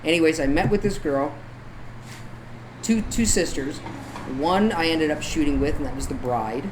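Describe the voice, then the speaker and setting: A man's voice: a little high, moderate pace. A man talking casually as a guest photographer, telling anecdotes in an informal, conversational way. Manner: informal, conversational, peppered with tag questions like "right?". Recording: clear background noise, room ambience.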